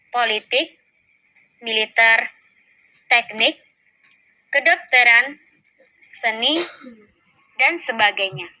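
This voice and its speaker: A young woman, a student, reading her group's class presentation in a steady, careful way. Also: on the high side, poor audio.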